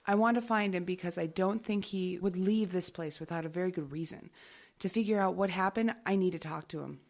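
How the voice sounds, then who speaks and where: An actress playing a guarded, businesslike investigator in an audio drama, matter-of-fact and evasive. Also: female voice, lowish voice, brisk, darkish tone. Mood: measured, explanatory. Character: guarded, businesslike female investigator working undercover.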